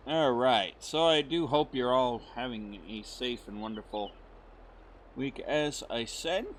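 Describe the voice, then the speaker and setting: Hoarse guy from the us chatting loosely over a farming game, lots of ums, faint hiss and engine noise behind. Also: mid-range voice, unhurried. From United States. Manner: friendly, rambling, many fillers. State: hoarse, recovering voice. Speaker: gaming channel host. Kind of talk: casual let's-play commentary.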